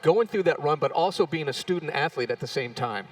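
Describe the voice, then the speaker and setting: A man's voice: fairly high pitch, expressive, fairly quick, dry recording, distant mic. A man hosting live ceremony coverage, enthusiastic and exclamatory as he talks with guests. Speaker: event host.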